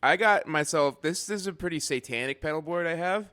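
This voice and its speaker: A man guesting on a podcast as a guitarist, chatting in a relaxed, irreverent way. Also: a little high, brisk, smooth. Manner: laid-back, irreverent. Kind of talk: casual comedic chat.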